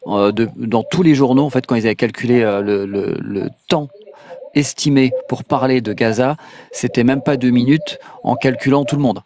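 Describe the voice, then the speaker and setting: A man's voice: mid-range voice, normal speed, a little mellow, a little hiss or hum. A man from France hosting a live call-in show, talking casually with many hesitant fillers and sarcastic asides.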